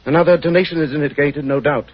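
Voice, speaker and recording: An actor playing a shrewd, dry gentleman detective, clipped and wry, in 1940s radio acting style. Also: fairly high pitch, fairly even intonation, fast, a little hiss or hum, lightly acted. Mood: wry, composed. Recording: dull, muffled 1940s radio recording.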